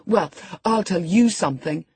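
A woman's voice: lowish voice, normal speed, a little mellow, slightly rough, no echo, pitched above the performer's own voice. A woman voicing a peevish, sickly teenage boy in an audiobook story, whining and self-pitying. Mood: spiteful, taunting. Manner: whiny, taunting, self-pitying.

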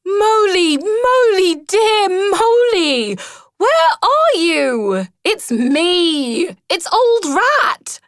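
A woman voicing a brave, kindly female water rat who talks in a lively, reassuring way as a storybook character. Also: measured pace, no echo, studio quality. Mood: calling out. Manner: lively and reassuring, animated swoops in pitch.

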